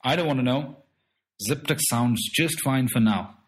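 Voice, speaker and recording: A man's voice: medium pitch, unhurried, voice pushed up, lightly acted. A man voicing a boastful little alien trickster who is playful, bossy and fond of made-up words, in a storybook. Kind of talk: storybook character voice.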